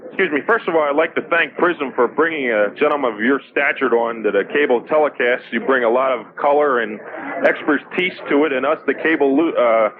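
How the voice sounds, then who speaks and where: A man from the audience politely putting questions to a guest on live radio, in a formal, deliberate way. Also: mid-range voice, a crowd in the background. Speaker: audience member asking questions. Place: live remote broadcast at a public venue. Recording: tinny, noisy 1980s radio recording.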